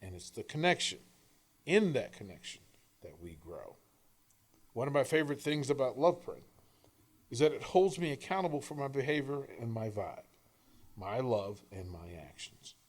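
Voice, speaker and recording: Male narrator, medium pitch, for inspirational audiobook read; earnest, emphatic, measured phrasing with dramatic pauses. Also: expressive. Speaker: author reading own work; coach and radio host. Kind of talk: scripted inspirational audiobook-style reading.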